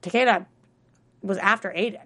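A woman co-hosting a casual TV recap chat, talkative and quick to laugh. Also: mid-range voice, crowd noise. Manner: chatty, laughs often, many fillers. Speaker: podcast co-host.

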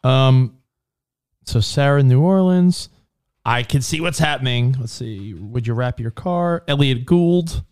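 A man's voice: medium pitch, measured pace, smooth. A man co-hosting a casual podcast chat. He tells family stories with a lot of swearing and mimics the people in them. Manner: profane, animated storyteller who mimics his mother. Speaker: podcast co-host.

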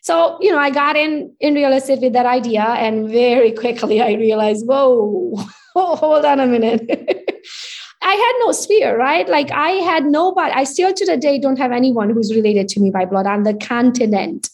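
Brief: woman in her 40s, Hungarian accent, bright-pitched, lively interview guest. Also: normal speed. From Romania. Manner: upbeat, animated storytelling with tag questions. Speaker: real estate agent guest. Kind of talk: casual interview chat.

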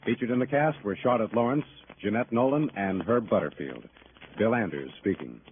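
A man's voice: lowish voice, expressive, moderate pace, a little hiss or hum. An American man working as a radio announcer, reading scripted credits and promos in 1950s network style. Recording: tinny vintage radio, loud music behind. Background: music.

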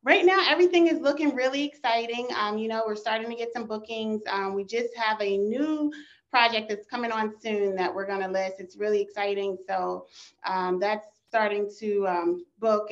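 A woman's voice: mid-range voice, moderate pace. Upbeat woman guest on a lo-fi line. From Eastern Shore of Maryland. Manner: upbeat, many "um" fillers. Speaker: guest, business owner. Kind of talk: casual interview. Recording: slightly dull, lo-fi line.

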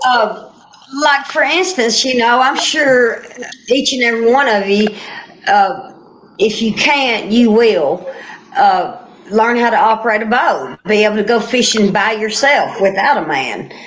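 A determined woman speaks in a folksy, plainspoken way, her voice sitting a little high. Faint background noise runs under her talk. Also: lively intonation, measured pace, a bit bright, rough recording. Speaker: guest angler. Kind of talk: casual panel chat.

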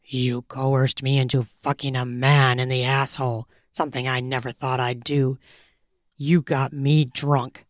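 A woman voicing a domineering, possessive young man, speaking in a low, murmured, commanding way. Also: level tone, a little slow, no room sound, clean studio sound. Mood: stern.

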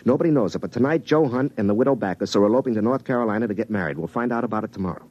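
A man's voice: fairly low pitch, rapid-fire, darkish tone, no echo, highs cut off, lightly acted. An actor playing an eager, folksy small-town young man, telling his story wryly in a radio drama. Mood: eager, insistent. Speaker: narrator and lead actor. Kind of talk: classic radio drama acting with first-person narration.

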